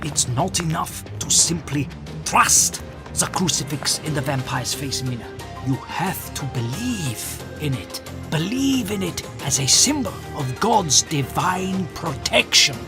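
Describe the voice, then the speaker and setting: A man plays a stern mentor and vampire hunter in an audio drama. The delivery is earnest and commanding, with wide swings in pitch. There is music underneath. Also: expressive, unhurried. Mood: firm coaching.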